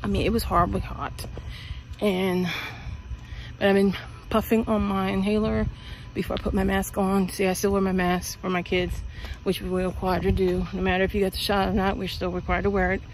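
A woman's voice: medium pitch, level tone, brisk, low-quality recording. Middle-aged woman, walking vlog at night, chatty and rambling, earbud mic, phone at arm's length, faint outdoor hum. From Pennsylvania, US. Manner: chatty, rambling, full of fillers and restarts. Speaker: vlogger. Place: outdoors on a suburban street at night. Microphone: earbud mic, phone held at arm's length while walking.

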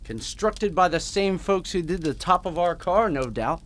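An actor playing a wisecracking, upbeat young husband on honeymoon, in old-time radio drama style. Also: male voice, high-pitched, lively intonation, normal speed, fairly bright tone, a little hiss or hum. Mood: wry, teasing. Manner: jaunty, polite, wry.

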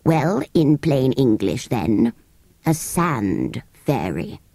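A grumpy, ancient furry creature from a storybook. The voice drops low and turns dry and husky. It speaks slowly and pompously, as if everyone is beneath it. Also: female voice, sing-song, dark tone, no room sound, exaggerated voice. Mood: sharp, scornful. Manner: slow, scornful, pompous. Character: grumpy, haughty ancient furry sand fairy.

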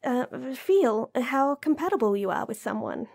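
Female actor as smitten, flustered young bunny girl, romantic roleplay. Warm, relaxed, slightly high voice; stammering, bashful rambling. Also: normal speed, creaky, full character voice. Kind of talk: romantic audio roleplay acting.

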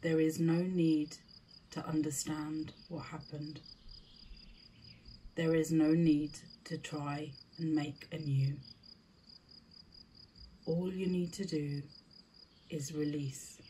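A woman leading a scripted guided meditation in a soothing, deliberate manner, with short spaced phrases. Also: low-pitched, flat delivery, mellow, slight background noise.

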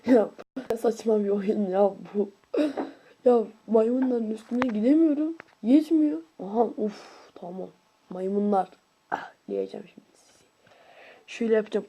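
A woman commenting playfully on a video game, swinging from whispered asides to excited squeals and grumbling at it. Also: mid-range voice, a little slow. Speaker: gaming YouTuber. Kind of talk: casual let's-play commentary.

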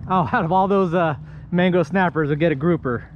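A middle-aged man talks to himself while fishing from a kayak, exclaiming as he goes, in a voice that sits high for a man. He is recorded on an action camera mounted on the boat, with loud wind and water noise all around. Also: fairly quick, dull audio. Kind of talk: casual running commentary while fishing. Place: kayak on open water outdoors.

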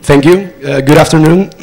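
A man's voice: a little high, darkish tone. A man, a student asking a prepared, formal question from the audience. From Venezuela. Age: 20s. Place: auditorium audience. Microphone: handheld microphone.

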